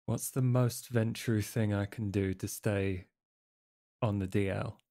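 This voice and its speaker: A man role-playing a troubled, uncertain male vampire in a tabletop game, speaking in a halting, hesitant way. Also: on the low side, steady tone, mellow. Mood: hesitant, confiding. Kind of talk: improvised tabletop role-play acting.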